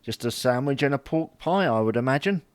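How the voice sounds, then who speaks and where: Guy doing a put-upon british husband in a sitcom, mild deadpan grumbling, close dry sound. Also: medium pitch, a little fast, clean tone, no echo, lightly acted. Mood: wry, mild. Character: hapless middle-aged husband, mild, grumbling, wryly deadpan. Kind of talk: domestic audio sitcom acting.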